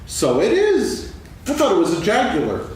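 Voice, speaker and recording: A man playing a naive, calm, matter-of-fact toy bear in a staged reading of a children's story. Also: high voice, normal speed. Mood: placid explaining. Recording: echoey hall, far mic, faint noise.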